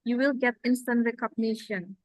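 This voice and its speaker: A woman with an Indonesian accent, a native Indonesian speaker, lecturing in a halting, explanatory way as she presents slides. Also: fairly high pitch, steady tone, a little mellow, no echo. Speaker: lecturer presenting at a language-learning seminar.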